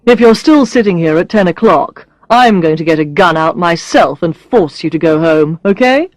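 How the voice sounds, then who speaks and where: A self-assured male boss in an audiobook story, voiced by a woman, speaks with dry mockery and easy command. The delivery is lively and teasing, recorded close with very little room. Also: medium pitch, normal speed, no echo, natural voice. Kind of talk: audiobook character voice.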